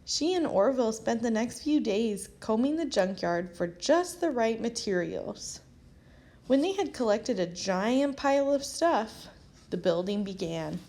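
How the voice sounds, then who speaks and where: A woman reading a children's picture book aloud as an expressive storyteller. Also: on the high side, unhurried. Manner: expressive, animated storytelling with acted character lines. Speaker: storybook reader.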